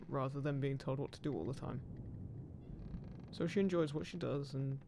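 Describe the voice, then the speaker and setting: A man's voice: medium pitch, normal speed, noisy background, lightly acted. A man playing a guarded ghost pirate captain, protective of his crew, speaking plainly and unhurriedly to one listener in an audio roleplay. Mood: fond, assured. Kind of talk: immersive roleplay audio drama, spoken intimately to one listener. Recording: clear background ship-creak sound effects. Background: creaking wooden ship ambience.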